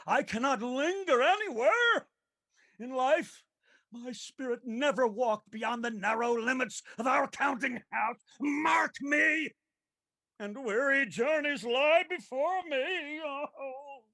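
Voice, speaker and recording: A tormented male ghost of a dead miser in a theatrical radio play. The voice is very high and creaky, dragging slowly through mournful declamations. It moans with remorse and stretches out its cries of woe. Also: animated, bright, forceful tone, heavily acted. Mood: weary, sorrowful. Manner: slow, declamatory, mournful, moaning drawn-out cries.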